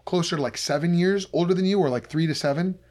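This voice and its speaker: Male podcast host, 30s, slightly high voice, casual and playfully needling conversational delivery. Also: quick pace, a little mellow. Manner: teasing, bantering. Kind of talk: casual chat.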